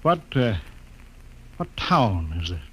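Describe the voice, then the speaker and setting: An actor playing a stern, skeptical spaceship captain who gives commanding orders in a 1950s radio drama. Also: male voice, fairly low pitch, big pitch swings, slow, a bit bright, breathy edge, heavily acted. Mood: puzzled, urgent. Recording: noisy old 1950s radio recording.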